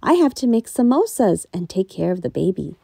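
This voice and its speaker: A woman's voice: mid-range voice, sing-song, brisk, gravelly, mellow, slightly rough, heavily acted. A woman voicing an eager, affectionate grown daughter in a storybook, with an emphatic, exclaiming delivery. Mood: apologetic.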